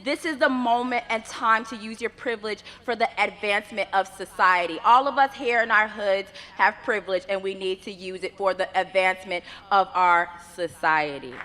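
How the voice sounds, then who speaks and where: A woman's voice: mid-range voice, pushed and bright. Earnest young woman speaking in a reverberant hall. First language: Yoruba. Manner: earnest, measured oratory with rhythmic lists. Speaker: graduate student speaker. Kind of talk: commencement address. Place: graduation ceremony venue. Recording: long reverb of a large ceremony space.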